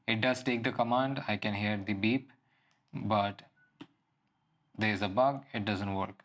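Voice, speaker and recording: Low-pitched man reviewing tech gear. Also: creaky, a bit bright. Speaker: product reviewer. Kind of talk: unscripted app and gadget walkthrough.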